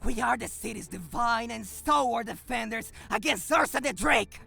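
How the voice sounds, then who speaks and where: A woman's voice: fairly high pitch, normal speed, creaky, pushed and bright, breathy edge, faint music behind, heavily acted. A performer, heard as a woman, playing a spoiled, arrogant young male lordling in a manga dub, pompous and indignant. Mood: haughty, threatening.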